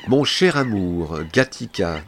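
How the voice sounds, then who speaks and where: A man's voice: on the low side, lively intonation, takes it slowly, slight background noise. A man hosting a radio show, introducing a guest and reading her background with frequent fillers. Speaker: radio host. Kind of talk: radio presenting.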